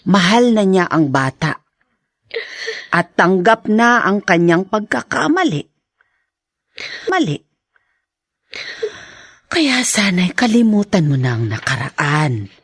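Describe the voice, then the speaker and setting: A woman playing a patient older mother in an audio drama, gently pleading with measured pauses. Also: mid-range voice, very animated, breathy edge, dry recording, clean studio sound, exaggerated voice. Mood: earnest pleading.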